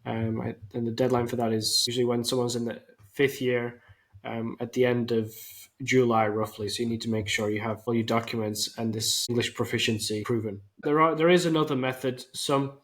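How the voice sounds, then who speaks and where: A man's voice: mid-range voice, fairly even intonation, fairly quick. A man hosting an interview, asking structured questions and adding practical information. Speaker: interviewer, host.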